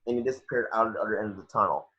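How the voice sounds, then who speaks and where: A man's voice: fairly low pitch, very fast, sharp tone, slightly rough. A man reading screenplay action descriptions at a table read, stumbling a little over the script, on a lo-fi home recording.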